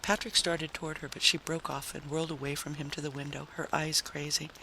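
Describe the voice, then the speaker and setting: Guy reading a dramatic audiobook story, bright slightly high voice, hissy noise in the background. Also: flat delivery, a little fast, gravelly, poor audio. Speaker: audiobook narrator. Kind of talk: dramatic scripted story narration.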